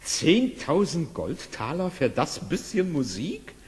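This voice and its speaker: An actor playing a sly, stingy town mayor in a fairy-tale radio play, with a sneering, blustering manner. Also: male voice, a little high, sing-song, slow, darkish tone. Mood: scornful, refusing. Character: sly, miserly, scornful male town mayor. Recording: reverberant hall sound, slight noise.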